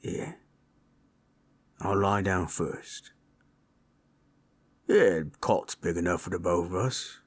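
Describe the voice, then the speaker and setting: Man voicing a deep, husky, gentle orc. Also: takes it slowly, long pauses, heavily acted. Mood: tender, teasing. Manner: folksy, nonstandard grammar, reassuring murmur. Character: big gentle male orc ex-war boss, soothing masseur. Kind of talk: intimate audio roleplay to the listener.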